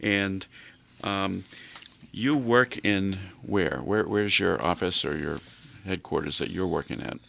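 An older man hosting a radio talk show, chatting slowly in a folksy way with many fillers. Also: deep voice, creaky, fairly bright tone.

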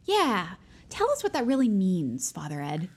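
A woman playing an eager, pushy, goody-goody high school senior in an audio drama, with a husky voice. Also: wildly swooping pitch, measured pace, exaggerated voice. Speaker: actor in an audio play. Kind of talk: naturalistic audio drama dialogue.

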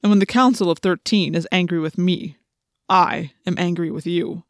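A woman voicing a cold, imperious male alien commander in an audiobook, with slow, threatening, commanding delivery. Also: fairly low pitch, steady tone, no room sound, studio quality, lightly acted. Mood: cold, menacing.

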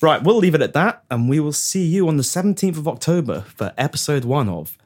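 A man presents a comedy podcast with a very animated, rising and falling voice. His chat is playful and teasing, somewhere between prepared patter and banter. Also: on the high side, fairly quick. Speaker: podcast host and writer. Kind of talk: comedic scripted-sounding chat.

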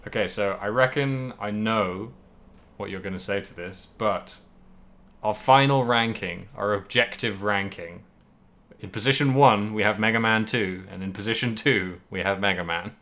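A man's voice: fairly low pitch, moderate pace, bright, forceful tone. A man co-hosting a podcast, chatting casually about video games. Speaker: podcast co-host.

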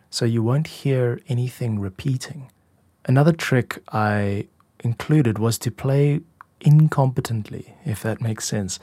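A man's voice: mid-range voice, a little mellow, no echo. A man giving an informal, instructive tutorial as a music producer and podcast host. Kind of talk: explanatory tutorial narration.

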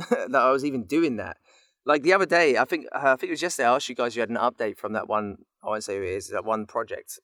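A man in his thirties talks in an easy, playful way, as if telling stories to friends. His voice sits at an ordinary male pitch, and the sound is dry with very little room in it. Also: fairly bright tone, dry recording. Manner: playful, laughs easily while talking. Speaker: voice actor guest. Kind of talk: casual chat, telling anecdotes.

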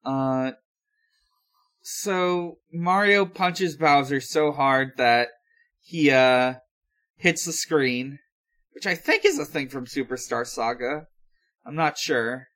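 A man co-hosting a casual podcast recap. He talks at a slow, unhurried pace and often stops mid-thought, hesitating before he picks the thread back up. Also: medium pitch, expressive, fairly bright tone. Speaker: podcast host. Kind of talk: casual recap talk with co-hosts.

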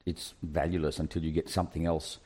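A deep-voiced man in a relaxed chat among gamers. He argues his point carefully and stumbles through restarts before getting it out. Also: fairly quick, breathy edge. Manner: reasoned, stammering restarts. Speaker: player. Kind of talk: casual chat.

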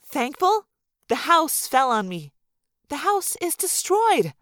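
Female narrator as sarcastic young girl heroine, high voice, flippant delivery, audiobook fiction. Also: big pitch swings, slow, fairly bright tone, dry recording, studio quality, heavily acted. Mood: wry, flippant. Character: wry, sarcastic young girl telling off fuss.

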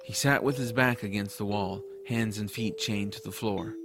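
A man's voice: fairly low pitch, fairly even intonation, moderate pace, music in the background. A man reading scripted first-person story narration for a family fantasy audiobook.

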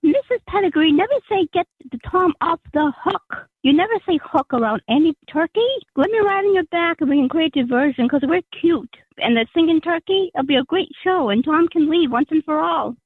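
A woman's voice: sits high, expressive, fairly quick, few pauses, dry recording. A woman voicing an eager, scheming farm cat in an improvised comic story. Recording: thin phone-like audio.

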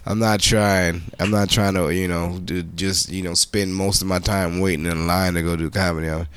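A man's voice: sits low, flat delivery, moderate pace, a bit bright, clean tone, dry recording. A young man co-hosting a casual comedy podcast, joking and swearing as he stammers through repeated phrases. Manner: joking, profane, repeats himself in stammering bursts. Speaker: podcast co-host.

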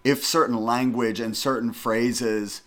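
A middle-aged man from the northern United States talks casually as a panelist. His mid-pitched voice is animated and emphatic. He tells stories conversationally, often repeating phrases to drive them home. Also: takes it slowly.

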